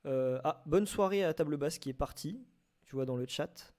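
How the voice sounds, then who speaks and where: Homme à voix assez aiguë, hésitant. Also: male voice, on the high side, moderate pace, a little mellow. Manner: hesitant, repeats and rephrases words. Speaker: host of a live online debate. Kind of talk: casual unscripted debate on audio fiction.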